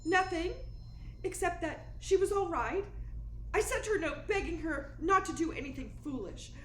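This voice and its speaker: A woman's voice: very high voice, animated, normal speed, a bit bright. A woman playing a fretful, genteel young client in an old-style radio melodrama, hesitant, anxious and polite. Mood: distraught. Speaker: actor in a radio play. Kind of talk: 1940s radio melodrama acting. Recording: echoing room with faint noise.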